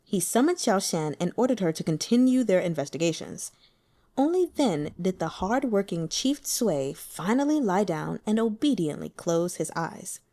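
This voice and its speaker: A woman talking casually through her notes on a book, in a chatty, giggly and animated manner. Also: lowish voice, normal speed. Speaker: audiobook reader and book commentator.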